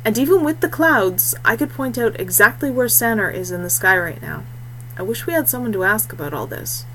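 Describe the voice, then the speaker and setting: A woman voicing a quiet, self-possessed young male scout in an audiobook, understated and matter-of-fact. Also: on the high side, expressive, brisk, slight background noise, poor audio. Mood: calm, dry.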